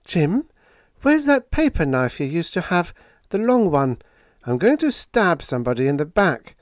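A fretful young woman, frightened and sharp-tongued, her voice pushed well up high and full of worry, as a male narrator puts her on. The delivery swings lively up and down, and the recording is dry and close. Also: measured pace, no echo. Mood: sarcastic. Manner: high-pitched, anxious, pleading.

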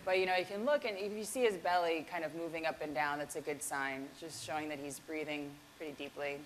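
A woman's voice: sits low, steady tone, fast, a little hiss or hum, low-quality recording. A woman yoga teacher giving a casual keynote talk with a live demonstration, chatty and self-deprecating.

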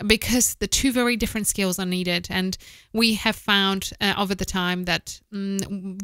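A woman in her thirties hosting a casual instructional podcast, repeating words for emphasis and pausing to think, speaking close to a studio microphone. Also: medium pitch, fairly bright tone, clear, resonant tone, dry recording. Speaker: host, voice-over producer. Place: small home office, indoors. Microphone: close studio microphone with foam windscreen, headphones.

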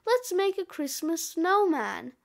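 Woman as playful young boy, high-pitched, breezy, eager, children's storybook sidekick. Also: sing-song, slow pace, creaky, heavily acted. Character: young boy Terry, eager, easygoing, playful best friend. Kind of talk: children's audiobook character.

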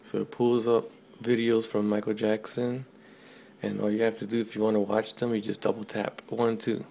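A man casually demoing an app on camera, joking and talking loudly to the device at his desk. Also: on the low side, level tone, moderate pace, vocal fry, slight background noise. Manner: offhand, joking, says commands loud to the device. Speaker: tech video host. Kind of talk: casual product demo. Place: small room at a desk.

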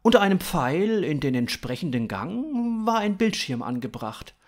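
A man in his thirties narrating scripted fiction in a dramatic, expressive way. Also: on the high side, normal speed.

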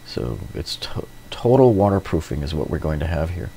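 Middle aged guy doing a diy how-to, deep gravelly voice, casual explaining, bit of background noise. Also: very animated, moderate pace, warm tone. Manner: informal, explanatory, repetitive. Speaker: DIY renovation host. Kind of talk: hands-on tutorial narration.

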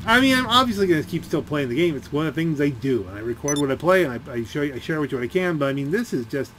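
A man giving casual, rambling gameplay commentary, halting as he thinks aloud. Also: on the high side, very animated, a crowd in the background, low-quality recording. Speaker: gaming video creator.

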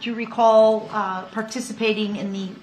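A woman's voice: mid-range voice, level tone, normal speed, a little mellow. An American woman speaking as a prosecutor, formally questioning a witness in a courtroom. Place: indoor courtroom. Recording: echoey courtroom with faint noise.

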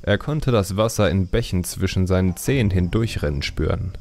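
A man reading a fantasy audiobook as narrator in a measured, deliberate, dramatic storytelling style. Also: low voice, animated, a little mellow.

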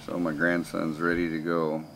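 A man's voice: sits low, monotone, normal speed, a bit bright, slight background noise. A man, narrating casually in a plainspoken, folksy way. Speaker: vlogger narrating fishing trip.

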